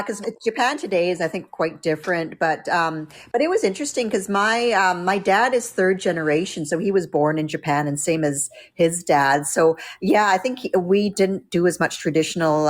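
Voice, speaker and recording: Low-voiced woman chatting on a video call. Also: normal speed. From Canada. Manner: hesitant, many fillers and restarts. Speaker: guest. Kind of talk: casual video-call chat. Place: home room with window. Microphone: laptop camera on video call.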